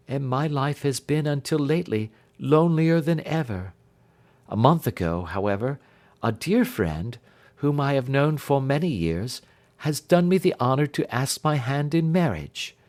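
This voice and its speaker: A troubled young gentlewoman of a Victorian storybook , voiced by a man reading aloud. She speaks earnestly and formally, carefully laying out her account. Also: medium pitch, animated, measured pace, lightly acted. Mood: anxious. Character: Helen, distressed young gentlewoman recounting her sister's death.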